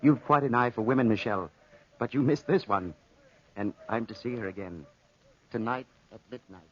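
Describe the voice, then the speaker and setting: An actor playing an earnest, smitten young French soldier, hesitant, in 1940s radio acting style. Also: male voice, mid-range voice, moderate pace, a bit bright, close to an everyday voice. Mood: smitten, insistent. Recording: noisy, lo-fi vintage radio recording.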